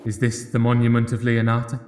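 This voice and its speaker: A man's voice: mid-range voice, monotone, fairly quick, close to an everyday voice. Solemn young nobleman in echo played by a man. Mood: mournful, solemn. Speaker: actor in an audio Shakespeare play. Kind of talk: Shakespearean radio play acting. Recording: echoey space with plucked guitar music.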